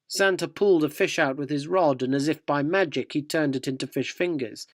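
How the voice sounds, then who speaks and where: A man's voice: fairly high pitch, a little fast, no echo, studio quality, close to an everyday voice. A man playing an earnest, inquisitive magazine reporter in a radio drama, narrating and questioning with a dryly descriptive manner.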